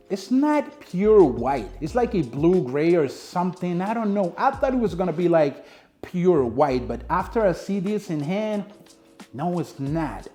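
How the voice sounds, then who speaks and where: A young man with a Dominican Spanish accent and a voice pitched a bit high for a man. He chats casually to the camera, driving his points home by repeating them. Soft music sits faintly underneath. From Dominican Republic. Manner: chatty, repeats points for emphasis. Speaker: sneaker reviewer. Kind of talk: casual product review to camera.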